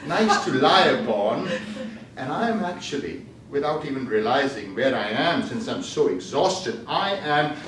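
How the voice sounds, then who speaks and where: Animated man telling a tall tale. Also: mid-range voice, unhurried. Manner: animated storytelling, laughing often. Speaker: contest speaker. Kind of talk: tall-tale speech at a speaking club. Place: meeting hall. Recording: echoey hall, far from mic, faint noise.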